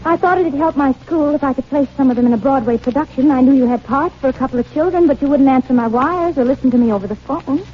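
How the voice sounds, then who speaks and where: An actress playing a guilt-stricken, lovesick drama coach in a 1940s radio play, earnest, hesitant and melodramatic. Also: female voice, sits high, quick pace, hardly any pauses, lightly acted. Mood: confessing. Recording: muffled 1940s radio recording with noise.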